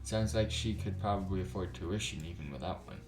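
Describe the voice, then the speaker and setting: A man voicing a blunt, warm-hearted woman roommate in a visual novel, casual and reassuring. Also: deep voice, brisk, echoey room, close to an everyday voice. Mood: frank, curious. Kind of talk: visual novel character read aloud. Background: soft background music.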